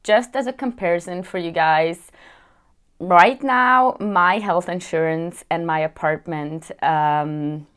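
A young woman from Switzerland, chatting casually in a vlog, laughing often and filling pauses with "like" and "um". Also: lowish voice, a bit bright, clean tone. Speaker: vlogger. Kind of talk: casual chat. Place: apartment.

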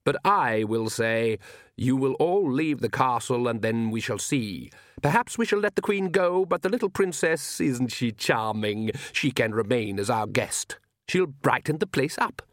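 A man's voice: medium pitch, expressive, unhurried, no echo, clean studio sound, close to an everyday voice. A man voicing a suave, smug vampire count, a storybook villain with a silky, condescending manner.

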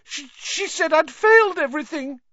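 A man voicing a frightened teenage girl who gasps and stammers in a high voice. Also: animated, moderate pace, bright, forceful tone, dry recording, muffled recording, heavily acted. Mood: panicked.

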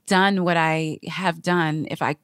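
A woman's voice: deep voice, steady tone, slow pace, smooth, no echo. Candid woman co-host, close dry sound. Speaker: podcast co-host. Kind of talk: casual conversational chat.

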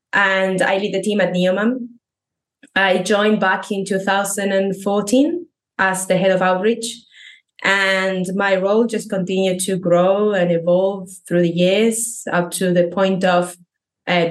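Woman, thirties, Argentine Spanish accent, non-native English, thoughtful, relaxed interview talk. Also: mid-range voice. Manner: thoughtful, restarts often, laughs lightly. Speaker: podcast guest. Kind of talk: conversational interview.

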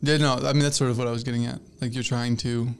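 A young man in his twenties chatting on a podcast, his voice at an everyday middle pitch with a gravelly, fry-heavy edge. He rambles, circles back, restarts his sentences, and gets flustered when teased. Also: little pitch movement, fast talker. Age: 20s. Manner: rambling, hesitant, full of fillers and restarts. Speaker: podcast co-host. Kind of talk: casual chat.

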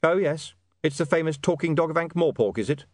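A man voicing a dry, pedantic, quick-witted gentleman in an audiobook story, polite and precise. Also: a little high, no room sound, pitched above the performer's own voice, natural voice. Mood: sceptical, dry.

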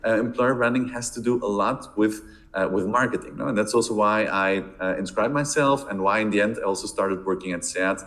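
Man from Belgium, fairly low voice, halting speech, repeats words, interview guest. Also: a bit bright, poor audio. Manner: hesitant, many repeated words and fillers. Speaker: podcast guest, marketing director. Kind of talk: casual interview answers.

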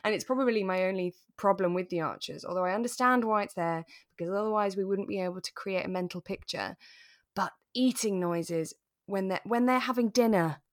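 A woman podcast co-host chats casually at a medium pitch. She leans on words and repeats them for emphasis. The sound is dry and close, with very little room in it. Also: fairly quick, dry recording. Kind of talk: casual chat about a radio drama.

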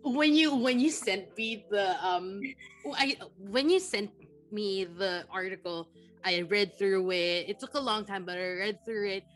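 A woman's voice: mid-range voice, a little slow, soft background music. A young woman from the Philippines hosting a podcast interview, chatty and full of fillers and restarts. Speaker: podcast host.

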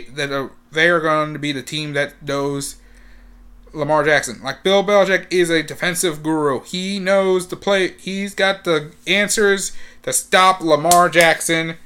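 A young man talking casually as a sports fan, rambling, repeating himself and using lots of fillers, and getting loud and excited at the big moments. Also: sits high, smooth. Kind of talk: casual talk to camera.

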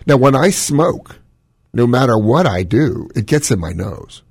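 A man's voice: mid-range voice, very animated, a little slow, a little mellow, no echo, studio quality. A man with a smoky voice, a doctor hosting a call-in radio show, giving long opinionated explanations. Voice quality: smoky, gravelly voice. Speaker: cardiologist radio host.

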